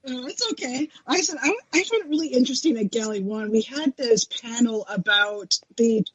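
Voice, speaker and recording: A woman's voice: fairly high pitch, expressive, normal speed, a crowd in the background. A woman panelist chatting and telling anecdotes conversationally on a web show over lo-fi call audio. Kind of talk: casual panel chat.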